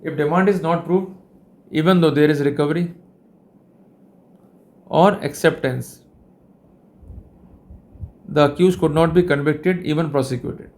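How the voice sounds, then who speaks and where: A man with an Indian accent giving a formal instructional lecture on his own, in deliberate, measured phrases with short pauses. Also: fairly high pitch, darkish tone. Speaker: legal educator presenting a lecture.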